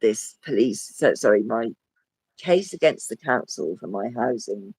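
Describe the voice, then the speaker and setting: A man with a croaky, hoarse voice, talking as a guest in a rambling casual chat that trails off and restarts. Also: mid-range voice, very animated, unhurried, a little mellow, no echo. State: hoarse with a cough. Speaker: podcast guest. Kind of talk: casual conversation.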